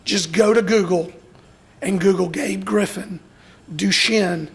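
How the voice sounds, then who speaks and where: A middle-aged man from Alabama speaks with a hoarse, gravelly, raspy voice. He gives a heartfelt, emotional speech to an audience, pitched high for a man. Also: takes it slowly. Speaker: speaker at a youth graduation, father of a boy with Duchenne.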